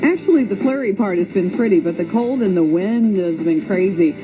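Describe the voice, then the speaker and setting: A woman's voice: medium pitch, lively intonation, brisk, music in the background, rough recording. A woman hosting a radio show in a chatty, encouraging, conversational way. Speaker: radio host.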